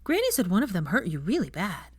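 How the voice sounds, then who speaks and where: A woman voicing a bright, curious little boy in a storybook reading, earnest and childlike. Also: mid-range voice, huge pitch swings, quick pace, breathy edge, no room sound.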